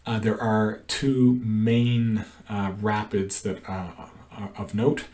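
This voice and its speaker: A man's voice: on the low side, level tone, slow, a little mellow, recorded from a distance. A middle-aged man explains a canoe route over a shared screen, talking in a hesitant way with many ums and uhs. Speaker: canoe trip guide. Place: indoor room.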